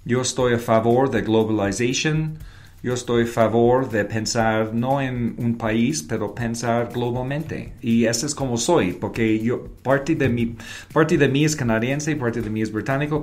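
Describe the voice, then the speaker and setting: A man's voice: medium pitch, a little mellow. A man who grew up in Wales, a native English speaker with a British accent, answering interview questions in non-native Spanish with short declarative sentences and the odd English word. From Wales, Britain. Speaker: guest, advertising creative and professor. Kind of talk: reflective interview conversation.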